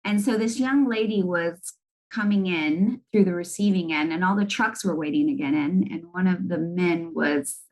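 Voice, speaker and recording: Woman, middle-aged, from Argentina, executive guest, earnest, reflective, fairly low voice. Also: normal speed, darkish tone, poor audio. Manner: reflective, earnest, many "you know" fillers. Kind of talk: candid interview answers.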